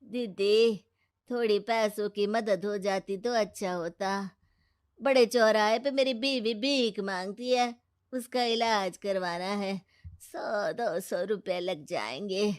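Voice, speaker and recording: A woman's voice: mid-range voice, slow, smooth, natural voice. A woman voicing a dishonest, pleading male street beggar in a cartoon moral story. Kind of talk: animated moral story dialogue.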